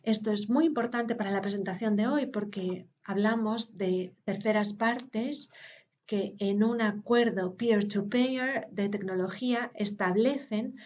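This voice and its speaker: Slow, hesitant woman interpreter. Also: medium pitch, darkish tone, dull audio. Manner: hesitant, with pauses and filler sounds. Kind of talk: live interpretation of a conference talk.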